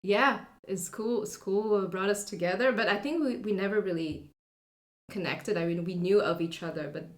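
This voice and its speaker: A woman in her thirties from France with a French accent, telling her personal story as a podcast guest in a soft, reflective way with many pauses and filler sounds. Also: mid-range voice, roomy sound.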